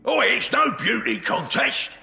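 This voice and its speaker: An actor playing a sneering, grumbling orc rival in a video game, with a croaky voice. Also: male voice, extremely high pitch, animated, a little slow, bright, forceful tone, faint music behind, full character voice. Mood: grudging. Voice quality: croaky, gravelly. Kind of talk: video game cutscene acting.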